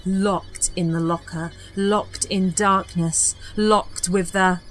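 A woman's voice: lowish voice, fairly even intonation, slow, faint music behind. A woman reading a scripted horror audiobook as narrator, with a dramatic, suspenseful delivery.

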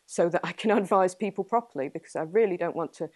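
A young Englishwoman with a slightly low voice fields questions in a small meeting room, picked up by a camera at a distance. She thinks out loud, hedges her points and laughs softly between them. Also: fast, no room sound, studio quality. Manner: thoughtful, hedging, laughs often. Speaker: guest speaker, entomologist. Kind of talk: answering audience questions after a talk.

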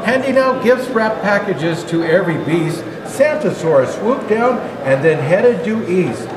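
An older man reading a children's rhyming storybook aloud as Santa Claus, in a measured, expressive delivery. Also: high-pitched, a crowd in the background. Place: indoor natural history museum hall. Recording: echoey hall, clearly noisy background.

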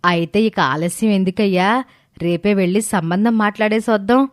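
A woman's voice: medium pitch, normal speed, close to an everyday voice. A woman voicing a caring, middle-aged mother in a cartoon family drama, earnest and direct. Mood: probing.

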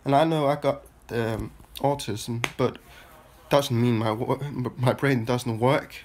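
A man's voice: mid-range voice, steady tone. Stuffy-nosed Danish-accented man musing to camera. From Denmark. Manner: halting, many restarts and filler sounds. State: stuffy nose from a cold. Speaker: vlogger. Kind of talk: rambling personal monologue to camera. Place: small room indoors. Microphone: phone held at arm's length.

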